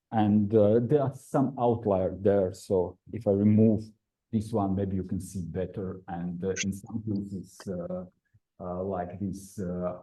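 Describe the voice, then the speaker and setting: A man's voice: fairly low pitch, mellow, rough recording. Man giving a seminar talk. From Croatia. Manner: non-native phrasing, frequent "uh" fillers. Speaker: invited speaker, researcher. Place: lecture room.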